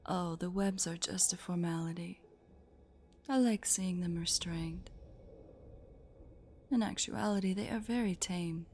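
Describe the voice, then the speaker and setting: A woman voicing a possessive, sadistic spider-woman in a roleplay audio drama, smugly playful, with breathy, teasing, condescending coos over music. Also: fairly low pitch, slow, long pauses, darkish tone, a little hiss or hum. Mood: smug, amused.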